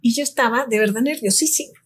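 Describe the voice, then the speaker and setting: A woman, speaking as a guest in a relaxed conversation and telling personal anecdotes. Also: fairly high pitch, quick pace, husky. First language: Spanish. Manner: reflective, tells anecdotes. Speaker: guest expert. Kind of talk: casual conversation.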